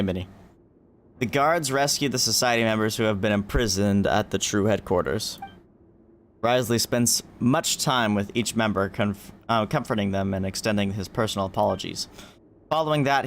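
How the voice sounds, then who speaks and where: Low-pitched man, casual game streamer. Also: a little hiss or hum. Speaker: video game livestreamer. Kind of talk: casual reactions and reading game text aloud.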